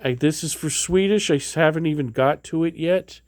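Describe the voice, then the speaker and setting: A man's voice: a little high, moderate pace, a little mellow, no room sound. Rambling man with a dry close sound. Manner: rambling, many fillers and restarts. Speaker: vlogger. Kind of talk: casual show-and-tell vlog.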